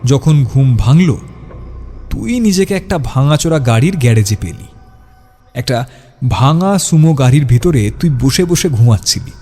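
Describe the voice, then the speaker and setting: A man's voice: medium pitch, expressive, a little slow, warm tone. A man narrating a scripted horror story in a dramatic, suspenseful storytelling style. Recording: music behind the voice. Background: music.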